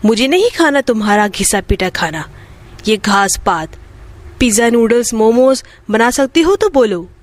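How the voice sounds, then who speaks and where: A woman's voice: fairly high pitch, animated, normal speed, pitched below the performer's own voice, close to an everyday voice. A woman voicing a spoiled, rude young city wife in a storybook tale, haughty and complaining. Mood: scornful. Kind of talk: Hindi bedtime moral story dialogue.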